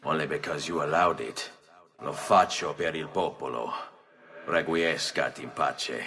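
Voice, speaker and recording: An actor playing a stern, solemn young assassin with an Italian accent in a video game cutscene. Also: male voice, extremely low pitch, fairly even intonation, unhurried, bright, forceful tone, full character voice. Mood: grave, resolute.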